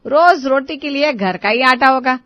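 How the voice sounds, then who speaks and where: A woman's voice: high-pitched, moderate pace, dry recording, lightly acted. A woman voicing a nagging, bossy old mother-in-law in an Indian family story, scolding and emphatic. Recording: dull lo-fi audio.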